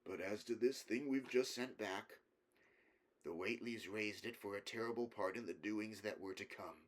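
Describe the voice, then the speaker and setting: A man's voice: on the low side, normal speed, natural voice. A man voicing a grave, learned figure who speaks carefully and solemnly in a horror story reading. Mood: grave, measured.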